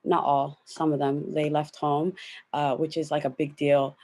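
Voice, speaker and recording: A woman's voice: low voice, flat delivery, a little slow, darkish tone. A young woman speaking thoughtfully on a discussion panel, with plenty of fillers. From United States.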